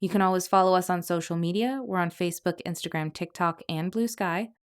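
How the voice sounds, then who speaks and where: A woman hosts a podcast with scripted narration. Her voice is smooth and clear, pitched a little low for a woman. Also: fairly quick. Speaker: podcast host and producer.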